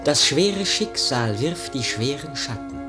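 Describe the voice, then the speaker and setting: The solemn epilogue of a classic radio verse play. He recites slowly in a medium register, formal and declamatory, with music clearly behind him. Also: medium pitch, expressive. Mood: solemn, knowing. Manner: declamatory, measured. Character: solemn male epilogue speaker addressing the listeners. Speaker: speaker of prologue and epilogue.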